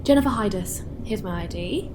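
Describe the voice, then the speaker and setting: A woman's voice: medium pitch, lively intonation, fairly quick, very noisy, close to an everyday voice. A woman playing a friendly, practical spaceship pilot in a naturalistic sci-fi audio drama, speaking casually and helpfully as she explains things. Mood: guarded, serious.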